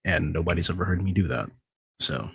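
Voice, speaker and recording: A man's voice: sits low, lively intonation, fairly quick, gravelly, pushed and bright, breathy edge, dry recording, clean studio sound. A man talking casually as a voice actor guest on a podcast panel. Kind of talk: casual chat.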